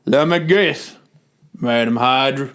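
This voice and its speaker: A performer in an amateur comic reading, voicing a deadpan, knowing office cyborg that is weary of complaints. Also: mid-range voice, slow, pitched below the performer's own voice.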